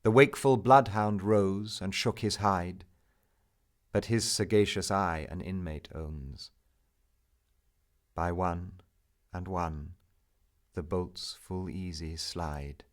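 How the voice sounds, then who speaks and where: A man narrating poetry as a dramatic recital, measured and theatrical, with strong swells and hushed endings. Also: low-pitched.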